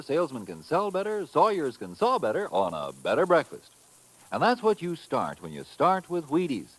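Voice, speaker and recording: A man pitches a product in mid-century radio commercial style. He is folksy and emphatic, his pitch bouncing widely around a medium register, in a dry, close sound. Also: no room sound, rough recording. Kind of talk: 1950s radio commercial, folksy hard sell.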